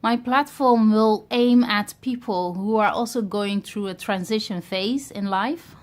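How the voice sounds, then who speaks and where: A woman from Ghana in her thirties who grew up in Europe, talking to camera in a reflective, hesitant way about starting a business. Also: mid-range voice. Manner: reflective, hesitant, many pauses and fillers. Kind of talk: personal vlog talk to camera.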